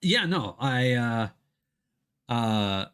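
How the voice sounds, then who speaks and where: A man talking casually to his stream viewers as a game streamer, rambling hesitantly with frequent ums and pauses. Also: medium pitch, sing-song, a bit bright, smooth. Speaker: video game livestreamer.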